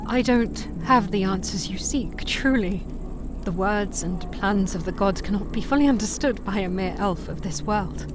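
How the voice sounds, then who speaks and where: An actress playing a wise, serene elven mother in a fantasy audio drama, with gentle, formal, measured phrasing. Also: medium pitch, background noise, close to an everyday voice. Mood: grave, reassuring.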